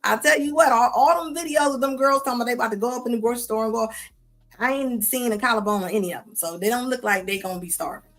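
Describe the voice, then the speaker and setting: A woman's voice: fairly high pitch, fast, fairly bright tone. A woman hosting a live show, reacting to clips in a sassy, scolding tone with casual slang, often repeating herself. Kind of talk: live commentary on videos.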